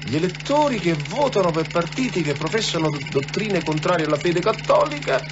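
A man's voice: fairly high pitch, expressive, a little fast, lightly acted. An actor playing a chatty, exclamatory, joking policeman in a radio drama. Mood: mocking. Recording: muffled, with loud machine hum.

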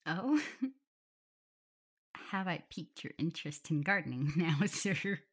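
Woman playing a low, soft-spoken caring werewolf girl. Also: lively intonation, measured pace, husky, heavily acted. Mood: chatty, playful. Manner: soft, soothing, hesitant, giggly. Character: gentle, caring, playful omega werewolf girl nursing her partner. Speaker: audio roleplay performer. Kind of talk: soft ASMR roleplay, intimate one-sided dialogue.

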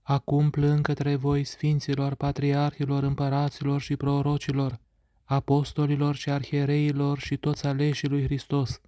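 Man, reader of liturgical prayers: near-monotone recitation, mellow and dry, close to the mic. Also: fairly high pitch, no echo.

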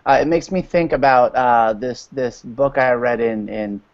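A man's voice: mid-range voice, measured pace, no echo. A man in his thirties chatting casually as a guest on a video call. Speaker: school librarian guest. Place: room at home. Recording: dull lo-fi video-call audio.

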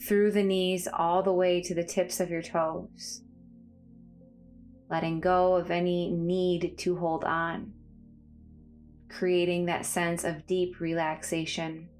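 A woman with a slightly low voice guides a body scan meditation. Her delivery is calm and soothing, with measured phrases and space between them. Faint music plays behind her. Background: background music.

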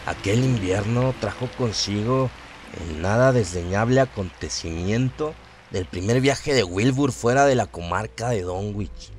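A man narrating a horror story for a radio drama in a measured, literary storytelling manner. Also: medium pitch, a little hiss or hum.

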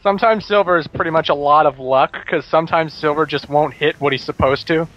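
A man with a slightly high voice chats casually as a game co-commentator. He explains details to his friends. The sound is dull and lo-fi, with faint game music. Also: brisk. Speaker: gaming co-commentator. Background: video game music.

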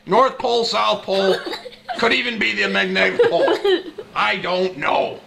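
Man putting on a high, creaky wisecracking baby. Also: moderate pace. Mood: amused, exasperated. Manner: old-school nightclub comic delivery with repeated catchphrases. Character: wisecracking baby girl doing a stand-up monologue. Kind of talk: improvised comic monologue in a home video. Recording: noisy amateur home video with clicks.

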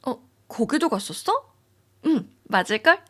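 Woman voicing a friendly senior coworker, hesitant, slow-paced, audio drama. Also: high voice, sing-song, a bit bright, full character voice. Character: gentle young woman senior colleague leaving her job. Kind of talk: amateur audio drama acting.

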